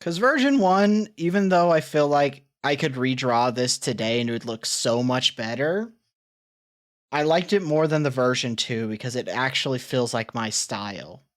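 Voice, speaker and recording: A woman's voice: very deep voice, expressive, normal speed. A woman streamer chatting casually and rambling as she reacts to her old clips.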